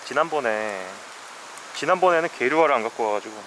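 A man's voice: mid-range voice, expressive, a little fast, fairly bright tone, low-quality recording. Guy fishing a shallow creek, mumbling to himself kinda dry and tired, helmet cam, water noise behind. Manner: muttering to himself, wry and weary. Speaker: fly fishing vlogger. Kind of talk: casual first-person fishing narration. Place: outdoors by a shallow mountain stream. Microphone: head-mounted action camera. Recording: outdoor stream noise behind.

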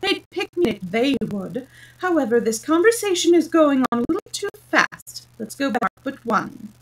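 A woman's voice: high-pitched, sing-song, moderate pace, distant mic, slight background noise, pitched above the performer's own voice, poor audio. A woman voicing a pompous, irritable male talking egg from a storybook, haughty and snappish in manner. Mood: boastful, condescending. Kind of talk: storybook character reading.

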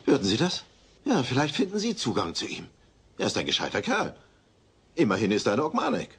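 A man's voice: medium pitch, wildly swooping pitch, a little fast, a little hiss or hum. A man playing a blunt, hapless, grumbling neighbour in a sitcom audio drama. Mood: worried.

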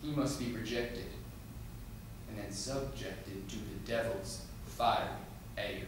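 Man playing a solemn, vengeful Jesus, heavily echoing. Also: lowish voice. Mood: solemn, condemning. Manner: measured, rhyming pronouncements. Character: Jesus, solemn vengeful divine spirit in rhyme. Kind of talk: theatrical dramatic monologue. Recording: very echoey room, distant mic, faint noise.

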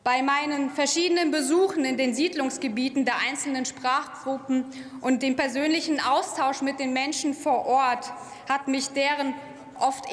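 A young woman gives a formal, earnest prepared speech in parliament, speaking at a lectern microphone. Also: high voice. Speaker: member of parliament and government commissioner. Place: large parliament chamber. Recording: roomy, echoing hall.

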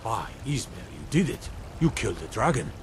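A grave, low-voiced fantasy town guard. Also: male voice, big pitch swings, a little fast. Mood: awed. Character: earnest, worried male town guard in fantasy game. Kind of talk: fantasy video game acting.

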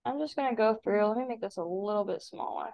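A woman instructor talking informally through a step-by-step software tutorial as a screen-recording voice-over. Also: mid-range voice, fast, vocal fry, muffled recording.

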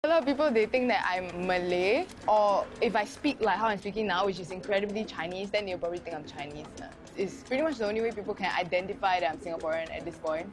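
Fast-talking woman in an interview. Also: mid-range voice, music in the background. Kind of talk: casual interview answer.

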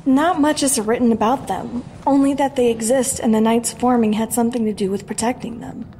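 Woman playing knowledgeable, earnest adviser, fantasy audio drama, fairly high pitch. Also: steady tone, a little fast, dark tone, a little hiss or hum, natural voice. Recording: clear background ambience under voice.

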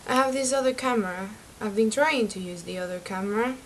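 A young woman from Venezuela, chatty and excitable, talking to her webcam about books in a small bedroom. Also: mid-range voice, fast, slight background noise. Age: young adult. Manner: chatty and excitable, laughs at her own slips. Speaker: book vlogger. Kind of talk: casual chat to camera. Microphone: webcam mic.

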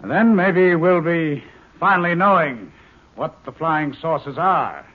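A scientist with a lively, rising and falling voice, excitable and in charge. The old broadcast sounds narrow and phone-like, with clear hiss under it. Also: male voice, on the high side, measured pace. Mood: earnest. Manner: excitable, commanding. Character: eager male scientist leading a saucer project. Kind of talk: 1950s radio drama acting.

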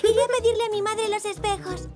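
A woman voicing a bubbly, excitable little girl zebra in a children's cartoon, squeaky, childlike and enthusiastic. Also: fast talker, music in the background. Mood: excited.

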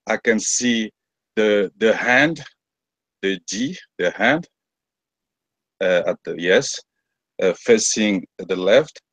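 A man with a French accent speaks slowly and haltingly, often restarting as he hunts for the English word. His voice sits low and comes across dry over an online call. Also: animated, a bit bright, no room sound. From France. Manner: halting, searching for words, many restarts. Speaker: class member. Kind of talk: hesitant online class reading.

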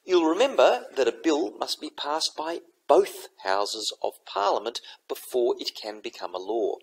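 Gravelly-voiced man lecturing slowly. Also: mid-range voice, sing-song, low-quality recording. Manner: measured, methodical explanation with classroom instructions. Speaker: teacher. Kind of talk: recorded lecture over slides.

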